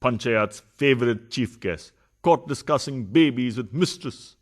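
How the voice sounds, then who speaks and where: Male actor as pompous philandering husband, mid-pitched, sarcastic, theatrical, scheming, radio farce. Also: unhurried, lightly acted. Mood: scheming, smooth. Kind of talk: farcical radio play acting.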